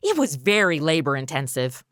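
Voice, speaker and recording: Woman playing a bright, animated wisecracking crewmate. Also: low voice, brisk, no room sound, clean studio sound. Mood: sarcastic. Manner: sardonic, exclamatory, conversational. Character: sarcastic, wisecracking modern woman among space crew. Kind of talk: comedy audio drama acting.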